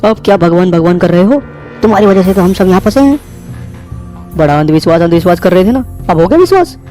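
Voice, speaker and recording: An earnest young man in a horror story, played by a man. His voice is high yet soft-edged, protective and chiding. Faint music plays behind him. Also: expressive, fast talker. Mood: scolding, pleading.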